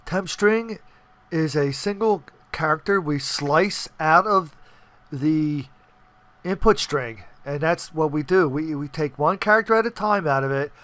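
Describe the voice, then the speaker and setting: A man's voice: fairly high pitch, a bit bright, dry recording. A man hosting a casual screen-recorded coding tutorial, talking informally and often checking in with the listener. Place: indoors, voice-over on screen capture.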